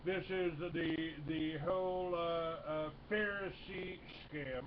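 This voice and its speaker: A man in his sixties hosting a talk radio show, rambling with halting restarts and lots of fillers. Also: a little high, fairly even intonation. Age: 60s. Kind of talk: casual talk radio chat. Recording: dull lo-fi broadcast with faint hiss.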